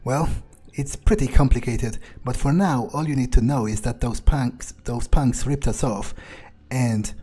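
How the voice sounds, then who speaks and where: A man roleplaying a vengeful, sardonic vampire in a video game, speaking in a measured, dryly menacing way with a husky voice. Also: medium pitch. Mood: grim, sardonic. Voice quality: husky, dark. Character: vengeful, sardonic male vampire, battle-hungry player character. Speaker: gameplay video creator.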